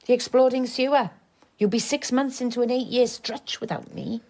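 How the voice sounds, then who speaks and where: A woman's voice: a little high, steady tone, moderate pace, a little mellow, close to an everyday voice, low-quality recording. An actress playing a tough, shrewd working-class woman, frank, sardonic and coaxing, in a radio drama. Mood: firm, coaxing.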